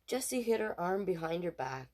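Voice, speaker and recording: A woman reading a children's picture book aloud in an expressive, animated way, with casual asides. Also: fairly low pitch, normal speed. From Canada. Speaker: storytime reader.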